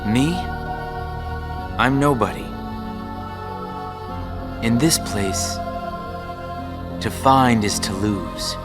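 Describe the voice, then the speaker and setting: An actor playing a solemn, aloof and mysterious man who speaks in a measured, enigmatic way in a video game. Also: medium pitch. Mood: cryptic, solemn. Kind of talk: animated video game dialogue. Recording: loud music under the voice.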